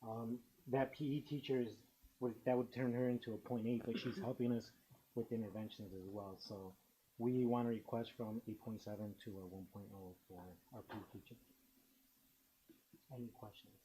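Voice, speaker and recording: A man's voice: medium pitch, steady tone, normal speed, warm tone, slight background noise, poor audio. A man presenting a staffing request to a school board, casual and chatty. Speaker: school administrator.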